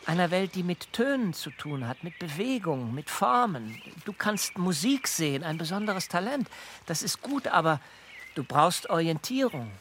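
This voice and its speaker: A thoughtful, higher-voiced male mentor. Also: big pitch swings, normal speed, fairly bright tone, slight background noise. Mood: gently persuasive. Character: caring male mentor, thoughtful, urgent, persuasive adviser. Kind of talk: radio drama acting. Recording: faint music behind the voice. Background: faint music.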